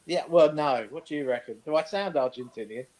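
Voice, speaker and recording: A man with a noticeably high-pitched voice for a male speaker. He talks as a sports show panellist in casual, teasing banter. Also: a little fast, darkish tone, low-quality recording.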